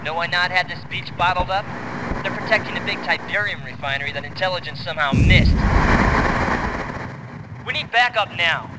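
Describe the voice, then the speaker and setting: An embattled soldier played by a male actor makes an urgent report in a video game cutscene. His voice is high and strained, nearly buried in heavy noise of engines and artillery. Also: normal speed, long pauses, close to an everyday voice. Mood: urgent, desperate. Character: embattled male field officer urgently calling for backup. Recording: very noisy battlefield transmission, engines and artillery.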